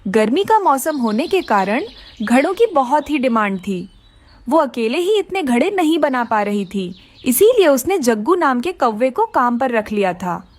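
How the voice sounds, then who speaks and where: A woman's voice: high voice, sing-song, unhurried. A woman narrating a scripted children's story.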